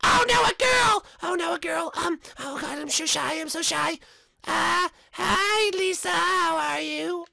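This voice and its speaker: A woman voicing a loud, taunting schoolyard bully boy who turns cowardly, in a homemade cartoon play. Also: very high-pitched, a little slow, gravelly, dry recording, heavily acted. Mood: smitten.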